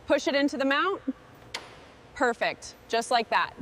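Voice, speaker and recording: A woman's voice: sits high, animated, measured pace, a bit bright, slight background noise. A woman playing an exacting crew boss in scripted workshop dialogue. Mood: careful, instructing. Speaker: restoration crew leader.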